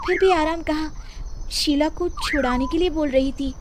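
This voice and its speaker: A woman voicing a meek, sweet young daughter-in-law, polite and gently protesting, in a cartoon moral story. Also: high voice, normal speed, noisy background, pitched above the performer's own voice, natural voice. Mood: pleading. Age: young adult.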